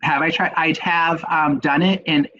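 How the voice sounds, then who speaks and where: A middle-aged man with a Boston accent speaks in a slightly higher register. He presents in a webinar with a halting delivery full of ums. The audio sounds muffled and lo-fi, like an online call. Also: fairly even intonation, pushed and bright. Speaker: nurse presenter. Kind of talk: webinar talk.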